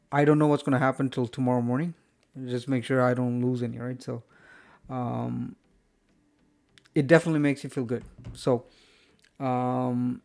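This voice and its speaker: A man's voice: mid-range voice, creaky, darkish tone. A man hosting a live stream from home, wrapping up the show in a rambling, friendly chat full of fillers and restarts. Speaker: host.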